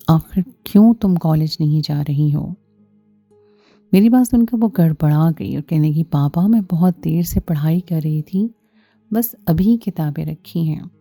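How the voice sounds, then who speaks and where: A woman's voice: deep voice, vocal fry, mellow, dry recording. A woman reading a scripted first-person story as its narrator, with music behind her.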